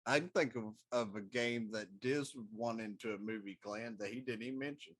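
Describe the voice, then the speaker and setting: A man's voice: medium pitch, fairly quick. A middle-aged man talking casually as a talk show co-host, meandering through his opinions. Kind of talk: casual chat.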